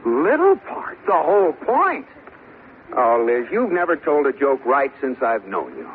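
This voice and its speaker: An actor playing a flustered, placating husband in an old radio sitcom, stammering and coaxing with some exasperation. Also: male voice, medium pitch, huge pitch swings, unhurried. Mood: exasperated. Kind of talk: 1950s radio sitcom acting. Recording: noisy, narrow old radio broadcast.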